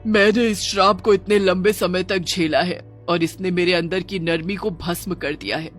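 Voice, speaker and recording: A woman's voice: fairly low pitch, fairly even intonation, normal speed, close to an everyday voice. Menacing cartoon forest witch, voiced by a female narrator: embittered, challenging, dramatic, over light music. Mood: bitter, softening. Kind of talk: children's horror cartoon dialogue.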